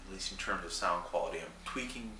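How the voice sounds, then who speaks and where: A young man vlogging casually to camera, a little raspy from a cold, rambling with many fillers in a small room at night. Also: sits low, quick pace, bright, forceful tone. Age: young adult. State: recovering from a cold, slightly raspy. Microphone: camera a few feet away. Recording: roomy, distant mic, faint buzzing hum.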